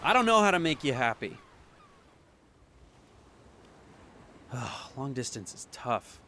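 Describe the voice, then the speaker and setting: A young man playing a heartbroken boyfriend in an audio drama monologue, speaking earnestly and haltingly. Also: medium pitch, sing-song, noisy background, close to an everyday voice. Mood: weary, hurt. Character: heartbroken young boyfriend pleading with his distant partner.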